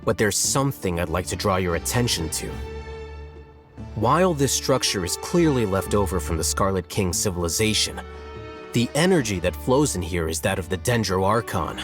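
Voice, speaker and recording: A man voicing a calm, dry-witted scholar who teases his companions in a game cutscene. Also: low-pitched, normal speed, music in the background. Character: calm, wry male scholar.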